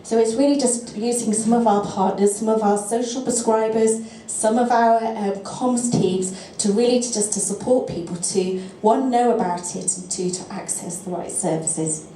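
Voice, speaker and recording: A woman presenting to a teaching session and answering questions, measured and thinking aloud with restarts. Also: a little high, mellow. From England. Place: lecture room. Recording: roomy lecture-room sound, faint noise.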